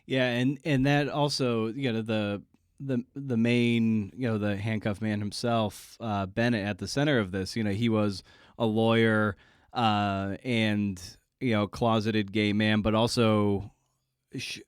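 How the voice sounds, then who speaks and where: A man hosting a conversational podcast interview, halting as he searches for his words. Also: fairly low pitch, fairly even intonation, smooth, dry recording, studio quality.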